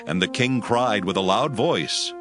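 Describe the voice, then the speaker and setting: Grave expressive male scripture narrator. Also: fairly low pitch, a little slow. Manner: steady, grave storytelling. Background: music.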